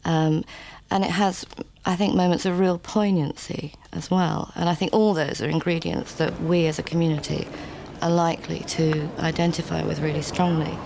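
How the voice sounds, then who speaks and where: Woman, interviewee, measured, gravelly, very low pitch, background noise. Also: steady tone. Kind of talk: interview answers.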